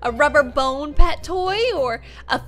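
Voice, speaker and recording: A woman's voice: high voice, a little slow, fairly bright tone. A young woman hosting a gaming video, acting out a roleplay expressively. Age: young adult. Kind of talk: dramatized game roleplay. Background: faint music.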